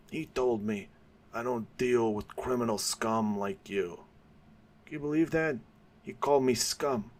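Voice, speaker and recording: A man's voice: lowish voice, natural voice. A man voicing a dying old male mob boss who chats casually about his crimes. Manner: chatty, boastful storytelling.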